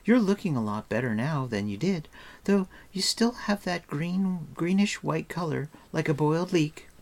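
A man's voice: a little high, lively intonation, normal speed, gravelly, voice pushed up. A man voicing a chattering, scolding, breezily confident young girl in a storybook, talking in a breathless rattle. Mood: teasing, scolding.

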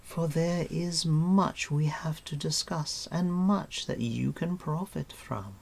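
A cruel enchantress queen from a fantasy storybook, acted out by a narrator. The voice is raised high and drawn out, often slipping toward a breathy hush. It is imperious, coaxing and full of menace. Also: slow pace, heavily acted. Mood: silky, measured. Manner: gentle coaxing turning to menacing hiss. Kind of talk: audiobook character voice.

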